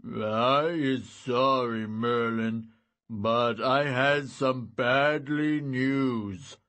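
A man voicing a gentle, simple-minded giant who is glum and apologetic, speaking in laboured, broken grammar in an audiobook story. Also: mid-range voice, steady tone. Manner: drawn-out, laboured delivery with quaint broken grammar.

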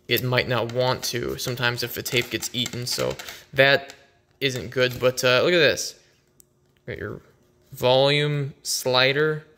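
A man's voice: mid-range voice, sharp tone. A man giving casual, unscripted vlog commentary in a laid-back, rambling way, recorded on a handheld phone in a garage. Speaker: vlogger.

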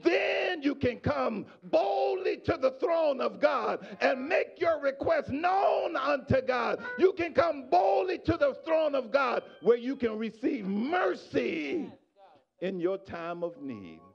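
Middle-aged male pastor mid-sermon, raspy edge, muffled lo-fi church recording, shouts then drops low. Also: sing-song, slow, soft background music. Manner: preaching with rising shouts and quiet asides to the congregation. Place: church sanctuary. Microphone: handheld microphone.

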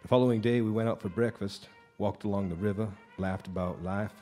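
A man acts out a haunted bluesman in a live radio play, drinking in a bar. His voice is low and weary, and he tells his tale haltingly and reluctantly over music. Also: fairly even intonation, warm tone, natural voice. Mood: wistful. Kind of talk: live radio drama acting. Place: live stage performance of a radio drama.

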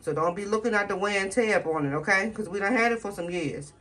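A woman's voice: medium pitch, quick pace, a bit bright. A woman from the chatting casually to her viewers in a home kitchen, filming on her phone, folksy and talkative. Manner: chatty, folksy, talks straight to viewers as y'all. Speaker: home cooking vlogger. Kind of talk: casual cooking video chat to viewers.

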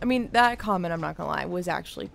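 A woman's voice: lowish voice, lively intonation, fast talker, gravelly, crowd noise. A young adult woman giving casual, opinionated commentary as a gaming streamer, speaking earnestly and emphatically with some swearing. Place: indoor streaming setup.

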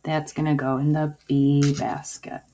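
A woman teacher giving a video lesson to young children in simple, deliberate phrases. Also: low voice, flat delivery, warm tone. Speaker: early-years school teacher. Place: indoors at a table, homemade video. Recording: dull lo-fi sound, clear noise.

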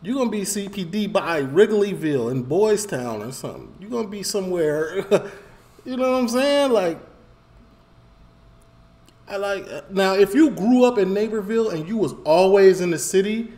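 A man talks a bit high for a man, working his thoughts out loud as he goes. He circles back, repeats phrases and gets animated. It sounds like a small room at home on a desk mic. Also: moderate pace. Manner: animated, rambling, repeats phrases, gestures while talking. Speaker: reaction video host. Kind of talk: casual commentary.

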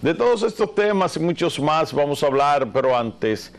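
A man's voice: on the high side, big pitch swings, normal speed. A middle-aged man with a Dominican accent hosts a TV talk show, commenting on the news conversationally with rhetorical questions. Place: TV studio at a desk. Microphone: lapel mic.

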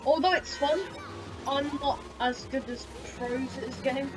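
A woman's voice: sits high, fairly even intonation, measured pace. A woman hosting a racing game playthrough with a slightly scratchy voice from a sore throat, chatting casually and exclaiming while she concentrates. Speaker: gaming channel host. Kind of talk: casual gameplay commentary. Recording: loud game music underneath. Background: game music and engine sounds.

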